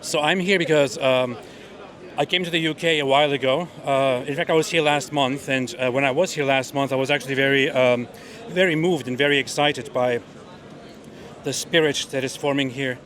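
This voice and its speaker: Halting, thoughtful man being interviewed. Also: mid-range voice, a bit bright. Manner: thoughtful, halting, many fillers. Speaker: rally attendee interviewed. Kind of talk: outdoor interview answers. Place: outdoor street rally.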